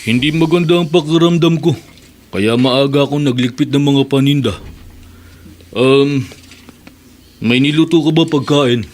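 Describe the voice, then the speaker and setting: An actor playing a tired, secretive husband in a radio drama, speaking in a hushed, low-key way. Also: male voice, measured pace, pauses often, natural voice. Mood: subdued.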